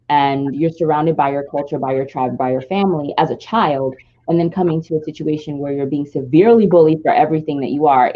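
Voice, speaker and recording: Low-voiced woman telling a personal story. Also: highs cut off. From Nigeria. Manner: earnest and reflective, long flowing stories. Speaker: podcast co-host.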